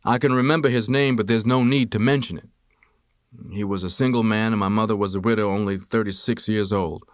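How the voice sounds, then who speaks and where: A man with a fairly low voice narrates a memoir in the first person, reading from a script as for an audiobook. The recording is dry and close, with very little room sound. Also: steady tone, a little fast, no echo. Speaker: audiobook reader.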